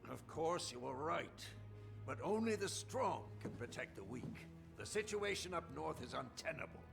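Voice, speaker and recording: An actor playing a hawkish, ambitious American admiral in a game cutscene, formal and emphatic. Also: male voice, a little high, big pitch swings, moderate pace, creaky. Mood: firm, deferential. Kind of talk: dramatic game cutscene acting. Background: music.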